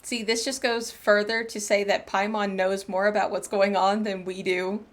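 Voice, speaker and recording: A woman's voice: mid-range voice, flat delivery, normal speed, slight background noise. A woman streamer giving casual commentary while playing through a game. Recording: faint game music behind.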